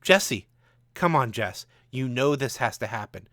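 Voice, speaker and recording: A man's voice: mid-range voice, expressive, unhurried, fairly bright tone, dry recording, clean studio sound. A man voicing a bitter, angry gunman from the future with a mocking, ranting manner in a story. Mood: grim.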